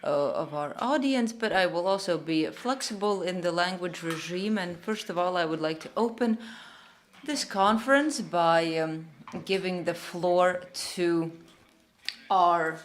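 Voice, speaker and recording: A woman working as a simultaneous interpreter at a conference, speaking in a measured way with pauses and self-corrections. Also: lowish voice, slight background noise.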